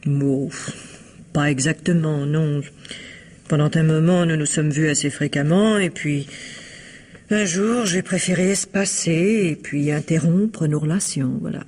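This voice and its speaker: A woman acting a reserved, quietly spiteful shopkeeper's wife in a vintage French radio mystery, measured and evasive in manner. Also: low voice. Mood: reluctant.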